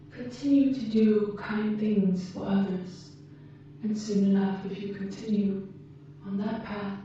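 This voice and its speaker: A woman playing a gentle, wise alien rescuer in an ASMR roleplay, speaking softly and earnestly in measured, slightly formal phrases. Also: mid-range voice, lightly acted. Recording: muffled, very echoey, distant, faint background noise.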